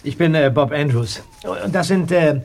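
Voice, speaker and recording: An actor playing a polite boy detective in an audio drama, with a husky voice and a halting manner. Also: fairly high pitch, a little mellow. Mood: hesitant, curious. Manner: hesitant, halting.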